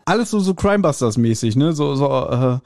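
A man's voice: medium pitch, lively intonation, brisk, darkish tone, slight background noise. A middle-aged man co-hosting a podcast, reviewing audio drama in a casual, colloquial and jokey way. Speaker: podcast host.

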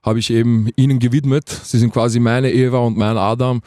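Mellow young man, close dry sound. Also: mid-range voice, flat delivery, brisk, no echo. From Vienna, Austria. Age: 20s. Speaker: guest rapper being interviewed. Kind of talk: casual radio interview chat.

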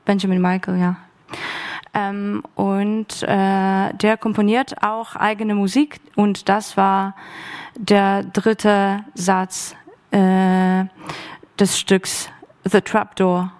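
A woman speaking unscripted as an author on a panel, very haltingly, searching for words. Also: mid-range voice, flat delivery, a little mellow. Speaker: radio play author on a panel. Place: stage of an arts academy event.

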